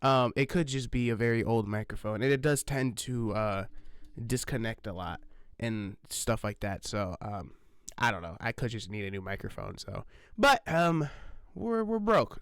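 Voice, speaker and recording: Guy rambling on his reaction channel, lots of ums and swearing, smooth voice, dry close mic at his desk. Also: mid-range voice, animated, normal speed, dry recording. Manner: rambling with many fillers and restarts, swears casually, laughs at himself. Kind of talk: casual rambling vlog chat. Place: home recording setup, sitting at desk. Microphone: old desk microphone, sitting close.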